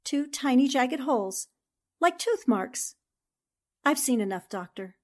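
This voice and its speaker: An actress playing a brave, sharp young newspaper reporter in a 1940s-style radio mystery, speaking in an earnest, theatrical way. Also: female voice, sits high, animated, measured pace, a little hiss or hum. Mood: shaken, subdued.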